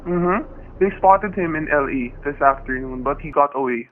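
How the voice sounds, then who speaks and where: An actor playing a plainspoken middle-aged husband who narrates a suspense story in old-time radio style, with a folksy, colloquial manner. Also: male voice, fairly high pitch, normal speed, phone-quality sound, faint music behind, lightly acted. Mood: easygoing. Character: weary, plainspoken middle-aged husband telling his ordeal. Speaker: narrator and lead character. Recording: dry, band-limited old radio recording.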